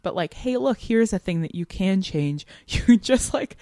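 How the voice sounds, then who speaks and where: A woman co-hosting a podcast, talking through a story in a casual, animated and emphatic way. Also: mid-range voice, unhurried, dry recording, clean studio sound. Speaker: podcast co-host. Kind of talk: casual literary discussion chat.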